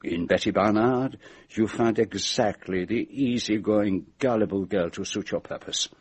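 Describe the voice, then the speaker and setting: Shrewd radio-drama detective: low, husky voice, formal, methodical, accusatory delivery. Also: male voice, measured pace. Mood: methodical, grave. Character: shrewd, methodical male detective laying out his case. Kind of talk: radio mystery drama acting.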